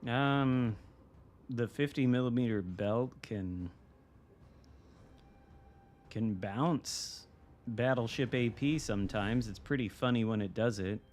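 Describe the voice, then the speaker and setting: A man's voice: mid-range voice, slow, long pauses, a little mellow, a little hiss or hum. A man with a Michigan American accent giving casual, dry, sometimes profane live commentary as he streams a video game. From United States. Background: video game artillery and gunfire.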